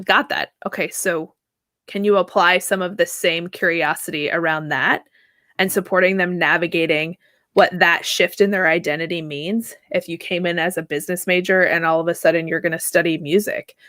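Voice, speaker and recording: A woman in her thirties, a therapist guest answering at length in a casual, animated way, laughing easily and often checking in with "right?". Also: on the low side, no echo, clean studio sound. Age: 30s. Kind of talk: conversational interview answer.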